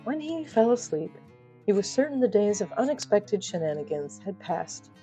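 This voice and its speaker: Woman storyteller on a fiction podcast, wry n playful, theatrical, music with it, medium pitch. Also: very animated, normal speed, dark tone. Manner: wry, playful asides to the listener.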